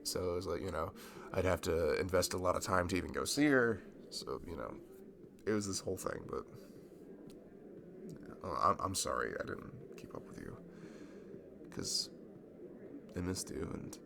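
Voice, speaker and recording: A man's voice: low-pitched, animated, normal speed, long pauses. A man playing a shy, nerdy young man in a romantic ASMR roleplay, rambling and stammering toward a longtime friend. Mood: tender, nervous. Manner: rambling, hesitant, stammering, nervous laughs. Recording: clearly audible background noise.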